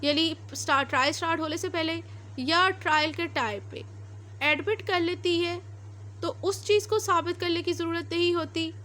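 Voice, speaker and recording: A woman's voice: very high voice, steady tone, normal speed, fairly bright tone. A woman with a Pakistani accent lecturing on law, explaining informally and repetitively with everyday examples and switching into English legal terms.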